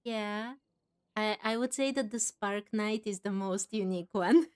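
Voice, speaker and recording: A woman's voice: mid-range voice, steady tone, measured pace, clean tone. A woman giving casual commentary as she plays through a game, chatty and musing aloud, with game music behind. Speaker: gaming streamer. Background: faint game music.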